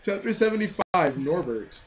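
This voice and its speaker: A man co-hosting a comedy podcast, tossing out crude wisecracks and reading a story aloud haltingly. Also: sits high, sing-song, heavy background noise. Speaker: co-host. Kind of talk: casual comedic podcast banter.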